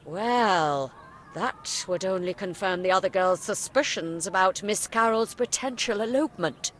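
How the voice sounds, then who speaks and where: Expressive, authoritative orphanage headmistress. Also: female voice, mid-range voice, a little slow, a little hiss or hum. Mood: composed, dismissive. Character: composed, authoritative orphanage headmistress, measured and skeptical. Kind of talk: animated horror adventure game acting.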